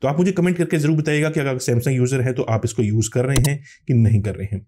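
A man's voice: medium pitch, quick pace, dark tone, a little hiss or hum. A man hosting a tech channel, explaining phone features step by step in tutorial commentary.

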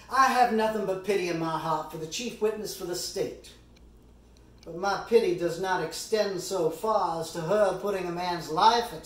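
Man acting slow, high-voiced impassioned defence lawyer. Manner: deliberate, dramatic pauses, emphatic oratory. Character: earnest, impassioned male Southern defence lawyer, middle-aged. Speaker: amateur actor performing a monologue. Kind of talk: theatrical courtroom summation monologue. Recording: roomy echo, distant microphone.

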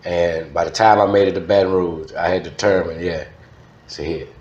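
A man's voice: low voice, steady tone, brisk, darkish tone. A middle-aged man with a Southern US accent, reminiscing casually as an interview guest in a studio. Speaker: interview guest, former radio DJ. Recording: slightly dull, roomy echoing sound.

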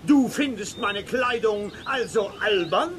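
Cranky, high-pitched scolding eccentric. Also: male voice, animated, takes it slowly, crowd noise. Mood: angry, threatening. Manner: stern, scolding, bossy. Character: cranky, threatening costumed man of a spooky house. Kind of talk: German children's radio play acting.

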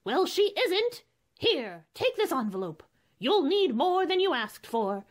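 A woman's voice: very high voice, very animated, a little slow, full character voice. A woman playing a cranky, imperious old aunt who scolds brusquely in an old-time radio drama. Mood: cross, scolding.

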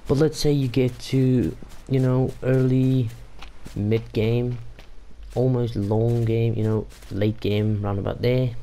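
A man's voice: mid-range voice, fairly even intonation, takes it slowly, warm tone, slight background noise. A young man whose first language is Afrikaans, casually commenting over gameplay with many fillers and restarts. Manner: rambling, frequent fillers and restarts. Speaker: gaming YouTuber.

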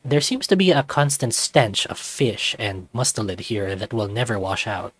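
A man reading a visual novel aloud as scripted, expressive first-person narration. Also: on the low side, moderate pace, slightly rough.

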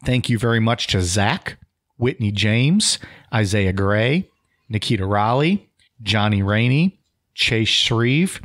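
A young man hosting a casual podcast, joking with his co-hosts and reading out listener shout-outs. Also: on the low side, animated, slow pace. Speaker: podcast host.

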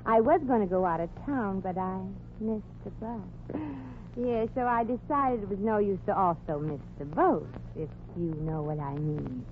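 An actress playing a brassy, flirtatious, quick-witted showgirl in a 1940s radio comedy. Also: mid-range voice, close to an everyday voice. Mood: coy, flirtatious. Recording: muffled, noisy 1940s radio broadcast recording.

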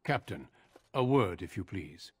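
An actor playing a composed, resolute middle-aged warrior in a fantasy game, formal and authoritative. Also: male voice, low-pitched, very animated, unhurried, breathy edge, no room sound, clean studio sound, heavily acted.

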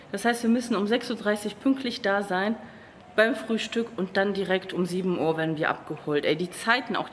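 A woman's voice: medium pitch, slight background noise. A woman in her thirties chatting casually to a handheld camera, rambling a little and tired from the humid heat, in a hotel lobby and on the street. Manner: chatty, rambling, frequent "genau" and "ne?" tag questions. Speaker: travel vlogger. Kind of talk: casual vlog chat to camera. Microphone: handheld camera at arm's length.

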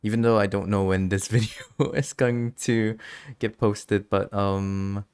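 A man with a fairly low voice talks casually as he streams a game. He is chatty and giggly, commenting in an informal, conversational way. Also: steady tone, a little slow, a little mellow, clean tone.

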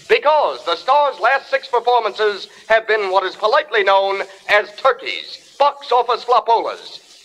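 A piercingly high, bright gossip broadcaster on vintage radio. He announces with snide relish over a slightly noisy old recording.

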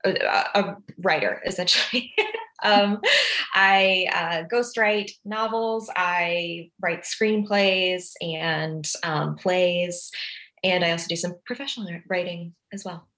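Woman, slightly low voice, thoughtful, halting, casual podcast guest. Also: steady tone, fairly bright tone. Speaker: podcast guest, audio drama director and writer. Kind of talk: casual interview conversation.

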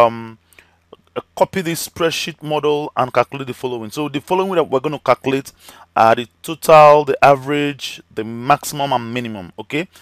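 Male teacher, tutorial voice-over, slightly hoarse and cracking, lots of "okay"s, dry close sound. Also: mid-range voice, no echo. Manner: chatty, many fillers and "okay" checks. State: voice slightly cracking, losing his voice. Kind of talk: screen-recorded software tutorial.

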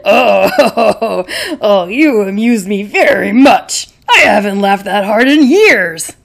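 A big, boastful lion from a children's puppet show, performed by a woman. The voice is gravelly and very slow, with wide dramatic swings and theatrical roars. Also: medium pitch, expressive, voice pushed down, heavily acted. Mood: haughty amusement. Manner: melodramatic, roaring and drawn-out.

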